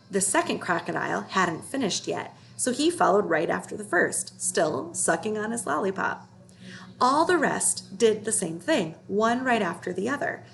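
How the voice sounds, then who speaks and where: A woman reading a children's story aloud as an expressive storyteller. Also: mid-range voice, gravelly, a little hiss or hum, low-quality recording.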